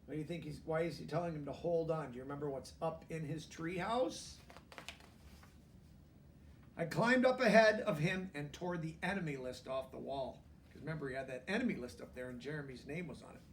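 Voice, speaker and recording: A man reading a children's book aloud in an expressive way, pausing for emphasis. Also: fairly high pitch, distant mic. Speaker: storybook reader. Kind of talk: children's book read-aloud with brief asides.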